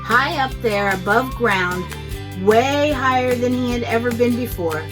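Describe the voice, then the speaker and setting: A woman hosting a children's storytime, reading aloud in an expressive, deliberate storytelling way, with music behind her. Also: on the high side. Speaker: host and story reader. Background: background music.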